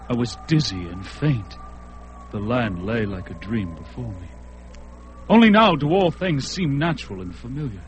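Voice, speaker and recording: An actor playing a fierce young barbarian hero in a classic radio drama, with a bold, declamatory manner. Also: male voice, a little high, poor audio. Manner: bold, declamatory, shifting to shaken murmurs.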